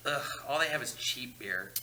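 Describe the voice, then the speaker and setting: Guy reading as a grumpy negative dead dude at a table read, really animated, noisy recording. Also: medium pitch, normal speed. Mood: irritated. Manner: snarky, exasperated. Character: sarcastic, negative, irritable dead man.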